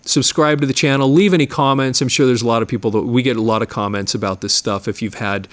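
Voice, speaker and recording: A man's voice: medium pitch, steady tone, quick pace. A middle-aged man hosting his own channel, explaining audio in a rambling, casual way, full of restarts and repeated words. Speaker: channel host, media digitizing studio owner. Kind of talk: casual explanatory talk to camera.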